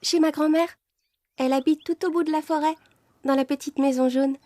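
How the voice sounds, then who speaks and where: A woman's voice: high-pitched, steady tone, brisk, mellow, no echo, natural voice. A woman voicing a sweet, trusting little girl in a children's cartoon fairy tale, speaking in an earnest, polite, childlike way.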